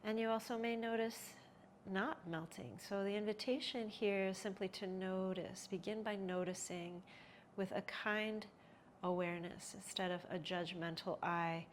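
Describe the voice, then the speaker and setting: A woman guiding a yoga nidra relaxation in a slow, soothing voice with soft pauses, sometimes dropping to a breathy hush. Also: medium pitch, fairly even intonation, slight background noise. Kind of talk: guided relaxation.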